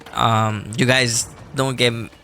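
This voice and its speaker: Hoarse sick man apologizing to viewers. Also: mid-range voice, flat delivery, very slow, music in the background. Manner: apologetic, rambling, clears throat often. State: hoarse, losing his voice from illness. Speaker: gameplay YouTuber. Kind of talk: casual talk to viewers before gameplay.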